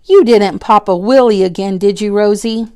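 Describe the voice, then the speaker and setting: A woman voicing a calm, caring female schoolteacher in a storybook, gently and patiently questioning. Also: mid-range voice, normal speed, clear, resonant tone, lightly acted.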